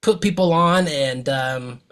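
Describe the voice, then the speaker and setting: A man's voice: on the high side, takes it slowly, fairly bright tone. A man hosting a podcast, talking with enthusiasm and slang, often restarting his sentences. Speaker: podcast host. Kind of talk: casual interview hosting.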